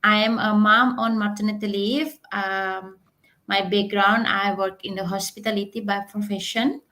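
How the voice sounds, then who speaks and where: Panel guest: woman from Indonesia, bright voice, simple English, filler words. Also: mid-range voice, fairly even intonation, moderate pace. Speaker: guest entrepreneur. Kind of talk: live online panel. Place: home in Austria.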